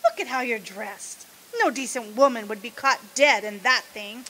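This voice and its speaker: Woman playing a prim, high, bright-voiced scolding mother. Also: normal speed, slight background noise, close to an everyday voice, poor audio. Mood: disapproving. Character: stern, judgmental old-fashioned mother, prim and resentful. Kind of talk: radio drama acting.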